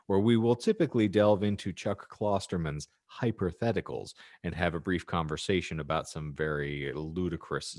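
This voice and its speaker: A man's voice: low-pitched, darkish tone, dry recording, studio quality. A man hosting a casual podcast, speaking in a wry, self-deprecating way with mock-grand wording. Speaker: podcast host.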